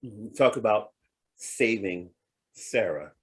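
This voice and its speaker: A man's voice: lowish voice, animated, slow pace. A man hosting an interview, introducing clips and reacting with frequent affirmations. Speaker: host. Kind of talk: casual interview talk.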